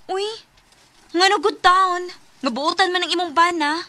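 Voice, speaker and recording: Radio drama: sensible Cebuano friend, high bright voice, recorded dry, gently questioning and calming. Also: female voice, measured pace, no room sound, full character voice. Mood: concerned, placating. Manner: placating, questioning. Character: reasonable female confidante calming her friend. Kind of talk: Filipino radio drama acting.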